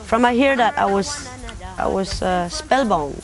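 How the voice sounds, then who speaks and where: A woman's voice: medium pitch, a little slow, music in the background. Young woman with patois turns. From Denmark. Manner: talkative storytelling with patois phrasing. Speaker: competition finalist. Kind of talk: casual interview.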